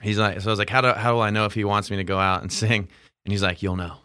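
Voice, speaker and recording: A man with a very low, smooth, clear voice tells his tale at a quick clip, rambling and laid-back, full of fillers. He sounds like a touring musician chatting as a podcast guest, recorded dry and close on a studio mic. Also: level tone, bright, forceful tone, dry recording. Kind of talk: casual storytelling.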